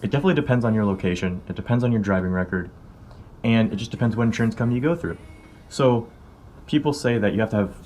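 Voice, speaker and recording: A man's voice: lowish voice, fast, a little mellow, slight background noise. A man in his early twenties with an American accent, talking informally to viewers as he explains things in a casual vlog. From California, United States. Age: early 20s. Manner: informal, conversational. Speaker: car vlogger. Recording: music behind the voice. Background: background music.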